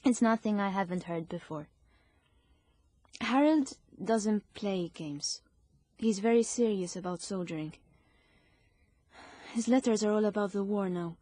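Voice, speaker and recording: A woman's voice: medium pitch, a little slow, pauses often, dark tone, no room sound, natural voice. Prim British wartime nurse, played by a woman. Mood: proper, earnest. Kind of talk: period radio drama acting.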